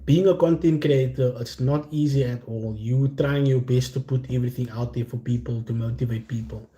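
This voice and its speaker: A man from Cape Town with a Cape Flats accent, chatting casually and candidly to his livestream viewers in slangy, unscripted talk. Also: medium pitch, normal speed. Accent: Cape Flats South African. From Cape Town, South Africa. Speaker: content creator hosting a chat livestream. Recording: roomy, echoing sound.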